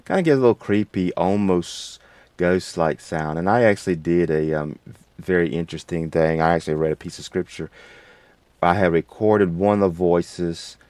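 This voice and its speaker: A man hosting a casual, unscripted software tutorial in a rambling, folksy way. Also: deep voice, normal speed, clear, resonant tone, dry recording. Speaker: tutorial host.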